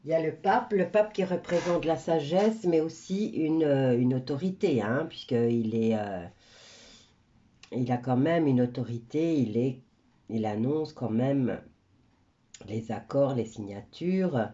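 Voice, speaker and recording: Slow mellow woman with a stuffy cold. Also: a bass voice, lively intonation, muffled recording. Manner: chatty and rambling, many hesitations. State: stuffy nose from a cold, coughing. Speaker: tarot card reader. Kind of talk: casual tarot reading to viewers.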